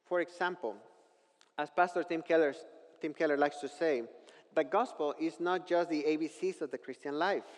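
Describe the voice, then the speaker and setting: Preacher, male, Mexican accent, native Spanish speaker, slightly high voice, measured and earnest explaining, long hall reverb. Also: fairly even intonation. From northern Mexico. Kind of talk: sermon with scripture reading.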